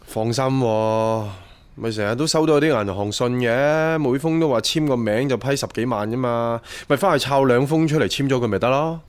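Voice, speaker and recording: A man's voice: mid-range voice, moderate pace, smooth, close to an everyday voice. An actor playing a gloomy, reckless young man with a Hong Kong accent, listless and offhand in a radio drama. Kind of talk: Cantonese radio drama acting.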